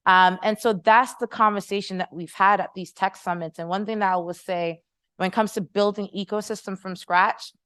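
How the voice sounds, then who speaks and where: A woman's voice: medium pitch, steady tone, moderate pace, a bit bright, smooth, dry recording, clean studio sound. Passionate American woman on a panel. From Haitian American. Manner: passionate, persuasive, audience-engaging. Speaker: panelist, startup founder. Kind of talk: panel discussion answer. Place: conference room with audience.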